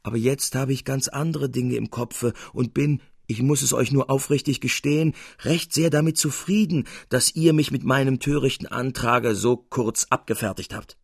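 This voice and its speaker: A man's voice: medium pitch, normal speed, vocal fry, few pauses, breathy edge, no room sound, clean studio sound, voice pushed up. A man voicing a sly young lover in an audiobook story, speaking with polite, soothing, put-on sincerity. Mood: feigned sincerity.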